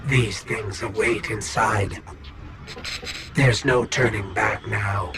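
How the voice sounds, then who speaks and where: A man's voice: fairly low pitch, steady tone, takes it slowly. A man playing a small, loyal, wary robot companion in a video game, with an earnest and dramatic delivery. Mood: uneasy, entranced. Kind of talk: video game cutscene acting. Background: music.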